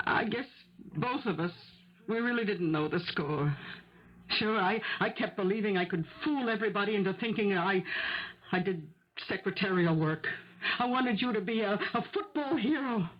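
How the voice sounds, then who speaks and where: A woman's voice: medium pitch, expressive, moderate pace, lightly acted. Blunt mother, played by a woman, old radio sound. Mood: sorrowful. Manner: blunt, emotional. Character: hard, reproachful working-class mother of grown son. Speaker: actress in radio mystery drama. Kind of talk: 1970s radio drama acting. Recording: dull lo-fi 1970s radio recording.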